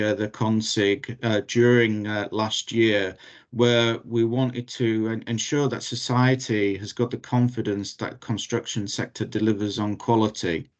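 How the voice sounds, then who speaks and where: Man, low monotone voice, earnest, filler-heavy, lo-fi call sound. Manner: earnest, stumbling with many fillers. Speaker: panelist, industry group chair. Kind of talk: webinar panel introduction.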